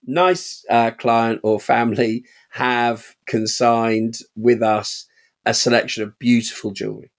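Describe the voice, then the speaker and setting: A man co-hosting a podcast, telling animated stories from his auction house, acting out quoted voices and laughing often. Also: mid-range voice, unhurried. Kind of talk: casual chat.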